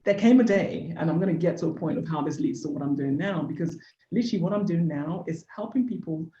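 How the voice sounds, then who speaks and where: Mellow-voiced woman telling her story. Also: lowish voice, fast. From Nigeria. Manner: earnest, candid storyteller with repeated "literally". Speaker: podcast guest, business founder. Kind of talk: personal story in a podcast interview. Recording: echoey room, dull lo-fi sound.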